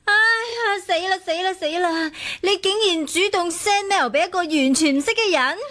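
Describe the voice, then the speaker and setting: A woman's voice: very high voice, normal speed, fairly bright tone. An actress playing a sassy, worldly young woman who scolds her friend, in a radio drama with a Hong Kong Cantonese accent. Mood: alarmed, scolding.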